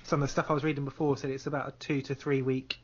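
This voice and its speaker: A man's voice: mid-range voice, little pitch movement, quick pace, lo-fi sound, a little hiss or hum. A man chatting casually on a podcast, searching for his words as he goes. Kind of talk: casual chat.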